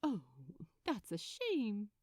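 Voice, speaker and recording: A woman puts on a sweet male gift-wrapper in a romantic audiobook, polite and softly teasing. He takes his time and lilts expressively, in a close, dry recording. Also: fairly high pitch, huge pitch swings, extremely slow, no echo, exaggerated voice. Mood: flirtatious. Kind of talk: fan fiction audiobook dialogue.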